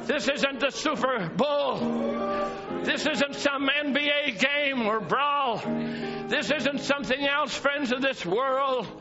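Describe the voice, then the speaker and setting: A man preaching a fiery sermon to his church congregation, often shouting in a high, strained voice. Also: slow pace, music in the background. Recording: dull lo-fi church recording with congregation.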